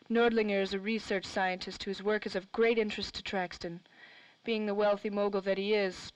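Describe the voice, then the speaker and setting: A woman's voice: medium pitch, smooth, no room sound, lightly acted, poor audio. Cool noir femme fatale, dry close sound woman acting. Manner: wry, slangy. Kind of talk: 1930s radio acting.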